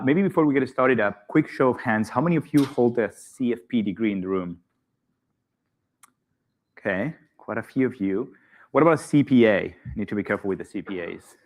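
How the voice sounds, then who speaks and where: Brief: man with a Spanish accent, lowish voice, halting stage-talk delivery full of "uh"s. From Spain. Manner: hesitant, many fillers and restarts, light laughs. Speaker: conference speaker. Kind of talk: live conference presentation. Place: conference hall.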